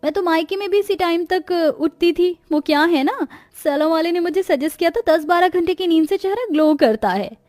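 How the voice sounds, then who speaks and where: Haughty, spiteful pretty young sister for a cartoon tale, voiced by a woman, very high and snootily whiny. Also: a little fast, few pauses, a little mellow, no echo, studio quality, soft background music. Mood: snooty, indignant. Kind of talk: cartoon moral story voice acting.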